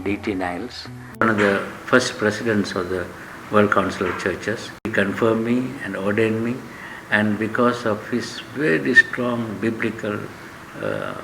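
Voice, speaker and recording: An older man from Sri Lanka with a Sri Lankan accent, a professor speaking earnestly and in measured phrases with frequent pauses, lecturing and reflecting on his own life in a classroom. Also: lowish voice, lively intonation, music in the background, low-quality recording.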